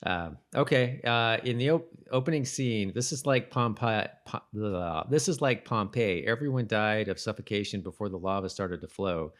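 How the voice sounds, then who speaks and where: A man co-hosting a podcast in casual conversation, with a halting, self-correcting way of talking. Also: fairly low pitch. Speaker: podcast co-host. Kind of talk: casual chat about a TV episode.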